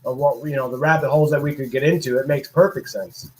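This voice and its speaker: A man in his late thirties chatting casually from his living room, laid-back and quick to laugh. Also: mid-range voice, steady tone, over a noisy crowd, low-quality recording. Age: 30s. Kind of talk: casual chat.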